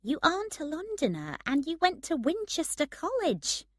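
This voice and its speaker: Narrator voicing a frank young woman, raised pitch. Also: very animated, a little slow, a bit bright, no room sound, clean studio sound, full character voice. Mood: reproving. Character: frank young woman, unimpressed and reproving with her friend.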